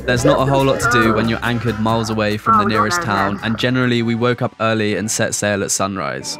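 A young man, the boat's captain, calmly narrating a sailing vlog in a scripted voice-over. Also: on the low side, quick pace, over loud music.

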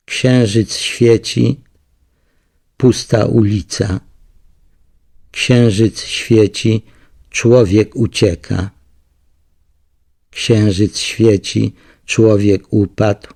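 Man intoning a poem, very slow and creaky. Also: on the low side, steady tone, a little mellow, no room sound. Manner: slow, repetitive, solemn reading. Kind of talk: poetry recitation.

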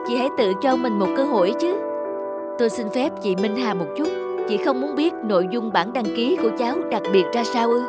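A man's voice: very high-pitched, expressive, brisk, over loud music. A man playing a suave, persuasive television dating show host in a cartoon, formal, showy and coaxing.

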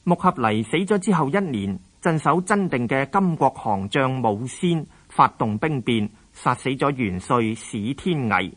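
A man's voice: medium pitch, lively intonation, unhurried, hardly any pauses, a bit bright, slightly rough. A man with a Hong Kong Cantonese accent reading scripted historical narration as a radio narrator.

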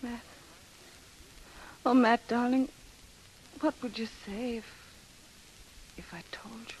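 A woman's voice: a little high, fairly even intonation, takes it slowly, a little mellow. An actress playing a tough yet tender young American woman, plain-spoken and guarded, in a 1930s radio drama. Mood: tender, anxious. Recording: hissy, noisy 1930s radio broadcast.

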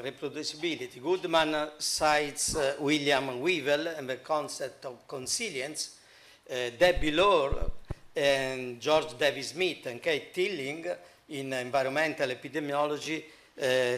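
Slow, halting Italian-accented man. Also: mid-range voice, a bit bright. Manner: halting, many fillers. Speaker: conference speaker. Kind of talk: academic conference talk. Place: conference hall.

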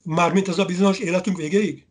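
A man in his sixties, a novelist, answering interview questions slowly and thoughtfully over a webcam video call, often pausing to search for words. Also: sits high, steady tone, breathy edge. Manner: slow, musing, searching for words. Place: indoor room at home.

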